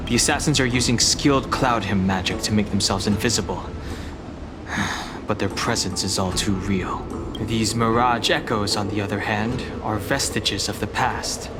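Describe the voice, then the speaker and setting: An actor voicing a calm, guarded young warrior in a video game, speaking in composed, formal phrases. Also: male voice, on the low side, moderate pace, music in the background, close to an everyday voice. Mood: measured, cautious.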